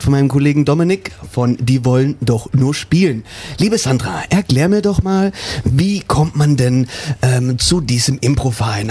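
A man's voice: medium pitch, darkish tone, slightly rough. A man from an improv theater group talking on a radio show and co-hosting it, with many hesitant fillers. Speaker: improv actor and co-host. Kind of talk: radio interview. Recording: radio studio.

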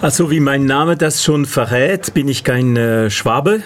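A man's voice: mid-range voice, measured pace, slight background noise. A middle-aged man from Catalonia with a Spanish accent tinged by French, speaking hesitantly with many fillers as he answers interview questions. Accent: Spanish, with French influence. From Catalonia, Spain. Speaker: guest, association organizer.

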